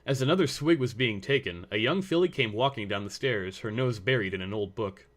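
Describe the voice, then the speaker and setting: A man serves as narrator for a piece of fiction. His voice sits fairly low as he reads the scripted prose. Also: lively intonation, fairly quick.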